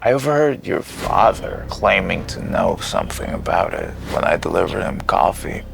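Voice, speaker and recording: Very creaky, kindly male servant. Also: low-pitched, big pitch swings, normal speed, noisy background, full character voice. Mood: concerned. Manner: gentle, concerned. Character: kind, cautious male servant, cook turned maid, protective friend. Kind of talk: audio drama character acting.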